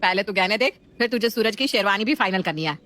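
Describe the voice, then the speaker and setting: A woman plays a middle-aged mother in a domestic story, fussy and doting. She takes charge of the household in an exclamatory, motherly way, at an ordinary mid pitch. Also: animated, fast, bright, forceful tone. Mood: fretful. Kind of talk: scripted family story acting.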